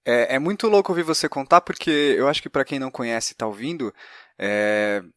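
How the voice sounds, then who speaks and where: A man's voice: mid-range voice, a little fast, a bit bright, no echo, clean studio sound. A man with a Brazilian accent hosting a conversational interview, reflective and feeling his way through long remarks. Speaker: podcast host, interviewer.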